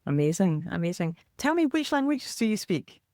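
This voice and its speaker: A woman hosting a podcast interview, encouraging and quick with short affirmations. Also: medium pitch, sing-song, a little mellow, no echo, studio quality. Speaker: podcast host, menopause coach.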